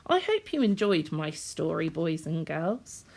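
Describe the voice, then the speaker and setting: A woman's voice: low voice, big pitch swings, a little slow, gravelly, a little mellow. A woman reading a children's story expressively, in the manner of a teacher speaking to young listeners.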